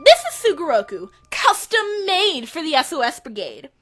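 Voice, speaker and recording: Woman acting a very high-pitched bossy teenage girl. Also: huge pitch swings, normal speed, a bit bright, faint music behind, exaggerated voice. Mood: proud, boastful. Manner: brash, commanding. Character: bossy, brash, boastful teenage girl club leader. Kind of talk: anime-style audio drama acting.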